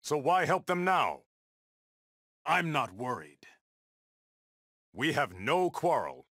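A proud undersea king from a fighting game, speaks in regal declarations. His delivery is defiant and stern, unhurried yet forceful, with big swings in pitch. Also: sing-song, dry recording, clean studio sound, full character voice. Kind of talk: video game battle dialogue.

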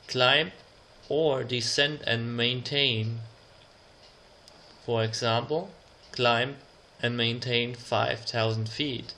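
Slow, creaky-voiced male instructor. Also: mid-range voice, steady tone, pushed and bright, a little hiss or hum. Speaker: instructor presenting an air traffic control phraseology tutorial. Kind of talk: slide narration, reading out example radio instructions.

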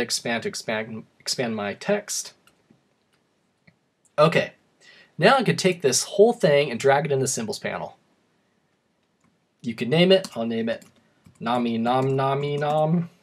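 Chatty young man teaching a tutorial. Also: medium pitch. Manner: chatty and joking, with fillers and restarts. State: voice tiring, going hoarse. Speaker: software tutorial host. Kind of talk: casual screen-recorded tutorial.

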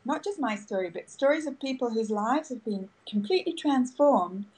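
A woman's voice: a little high, animated, moderate pace, a little mellow, rough recording. A woman podcaster answering interview questions in an upbeat, storytelling way over a video call. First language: English. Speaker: guest, podcaster.

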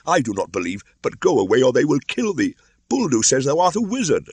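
A loving village mother in a storybook, voiced by a man. She sounds anxious and urgent. The pitch is lifted and the delivery pleads. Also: wildly swooping pitch, fairly quick, darkish tone, breathy edge, dry recording. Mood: anxious pleading.

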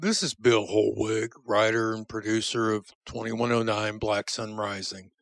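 Guy doing a solemn tribute intro, unhurried n halting, very dry sound. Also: on the low side, little pitch movement, creaky, no room sound. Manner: halting, sincere. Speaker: audio drama writer and producer.

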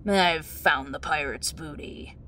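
Woman doing a cheeky teen schoolgirl, teasing, unhurried delivery. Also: low voice, sharp tone, slight background noise, full character voice. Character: teasing, cheeky teenage high school girl. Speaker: student.

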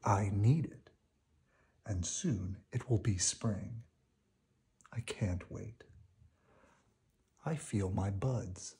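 A man voicing a gentle, friendly female talking tree in a storybook, with earnest, drawn-out speech. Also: lowish voice. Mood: contented.

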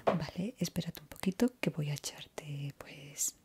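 A young woman from whose comes a soft, breathy, gentle whisper, playing a barber in an unhurried ASMR roleplay close to the mic. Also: sits low, a little hiss or hum. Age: young adult. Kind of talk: ASMR roleplay, personal attention. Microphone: close ASMR microphone.

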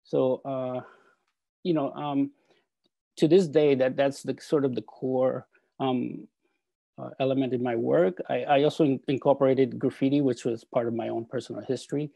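Gravelly-voiced man, halting and full of fillers. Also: mid-range voice, fairly even intonation, dark tone, no room sound. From El Salvador. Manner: halting, many fillers and restarts. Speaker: artist. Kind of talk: video call talk with slides. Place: home art studio. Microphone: earphone mic on video call.

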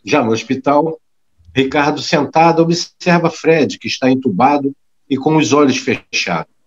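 A man's voice: mid-range voice, normal speed, lo-fi sound. A man reading scripted scene narration for an audio drama.

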